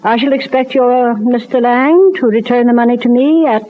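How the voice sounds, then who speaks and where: An actress playing a stern, commanding, wealthy society matron in an old-time radio soap opera, with an imperious, clipped and exacting manner. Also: female voice, a little high, normal speed, natural voice. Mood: brisk, firm. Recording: tinny, noisy vintage radio recording.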